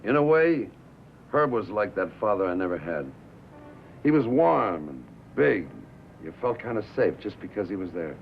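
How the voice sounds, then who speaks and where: A man's voice: on the low side, big pitch swings, normal speed, creaky, a little hiss or hum. A man playing a brash, defensive American bandleader in 1950s film drama style, loyal and slangy, with punchy wise-guy talk. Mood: solemn, sincere. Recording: muffled vintage film soundtrack.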